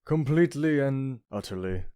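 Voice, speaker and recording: A man's voice: medium pitch, animated, moderate pace, mellow, smooth, dry recording, clean studio sound, natural voice. A man playing a suave, flirtatious prince in a comic dub, genteel and self-assured. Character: suave, flirtatious, penniless prince with courtly charm.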